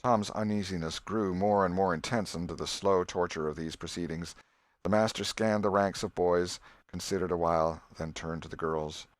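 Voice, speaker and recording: A man reading an audiobook as an expressive scripted storyteller. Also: deep voice, normal speed, dry recording, clean studio sound. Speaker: audiobook narrator.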